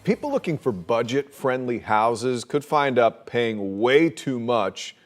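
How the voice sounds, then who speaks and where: A man's voice: mid-range voice, animated, slow. A man presenting TV news as an anchor in a conversational style.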